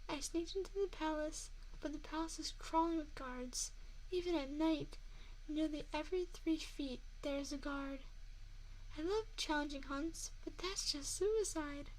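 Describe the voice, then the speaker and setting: A woman's voice: high-pitched, a little slow, rough recording. A woman voicing a seductive, menacing female vampire in an intimate ASMR roleplay, mocking and taunting a single listener up close. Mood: taunting, scheming. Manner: teasing, taunting, intimate address to one listener. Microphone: close binaural 3Dio microphone. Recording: faint background noise, close binaural mic.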